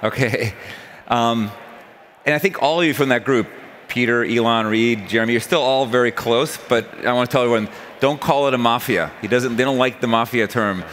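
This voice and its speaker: A man's voice: medium pitch, brisk, fairly bright tone, a little hiss or hum. A middle-aged man interviewing a guest on a large conference stage through a headset mic, asking rambling questions with many restarts. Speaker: interviewer, investor. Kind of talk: on-stage conversation.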